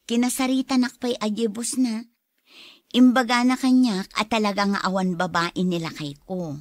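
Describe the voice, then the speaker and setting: A woman's voice: medium pitch, fairly even intonation, normal speed, no echo, studio quality, close to an everyday voice. A woman playing a troubled, suspicious young wife in an Ilocano radio drama. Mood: cheerful, carefree.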